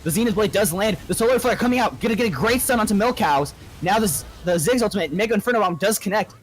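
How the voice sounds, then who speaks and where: A man's voice: sits high, lively intonation, fast, slightly rough, poor audio. Man calling a live esports match. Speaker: esports play-by-play commentator. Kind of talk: live game commentary.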